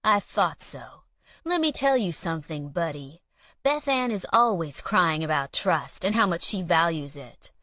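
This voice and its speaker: A woman voicing a smug, spiteful man who needles his rival in loud, quick taunts. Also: lowish voice, animated, no echo. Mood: taunting. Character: sneering jealous male councilman, smug and spiteful. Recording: dull lo-fi dry read.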